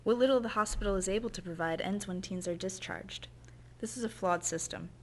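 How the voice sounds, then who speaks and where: A woman narrating a documentary in an earnest, measured, scripted way. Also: medium pitch, a little hiss or hum.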